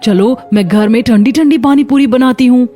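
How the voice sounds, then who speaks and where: Woman as a humble, earnest housemaid, high voice. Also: normal speed, mellow, faint music behind, lightly acted. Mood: polite, coaxing. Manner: polite, earnest, put-upon. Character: humble, hardworking young housemaid and daughter-in-law. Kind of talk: children's cartoon story.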